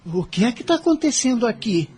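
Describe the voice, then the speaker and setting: A woman's voice: fairly high pitch, sing-song, fairly quick, a little mellow. A woman playing an earnest, worried schoolboy from a poor family in an audio drama. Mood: worried, gentle.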